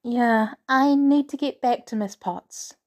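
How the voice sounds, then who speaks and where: Woman voicing a timid, higher-pitched teenage girl. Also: slow pace. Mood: uneasy, hesitant. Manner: hesitant, timid. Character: timid, polite teenage girl intern, uneasy. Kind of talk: storybook character dialogue.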